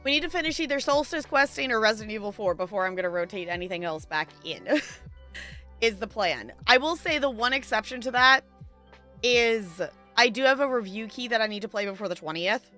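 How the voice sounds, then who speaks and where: A woman with a bright, forward voice pitched a little high, talking like a game streamer to viewers. The delivery is chatty and loosely rambling, with playful digs at oneself, over some background music. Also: expressive, fairly quick. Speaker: video game live streamer. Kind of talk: casual livestream chat, answering viewers. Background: music behind the voice.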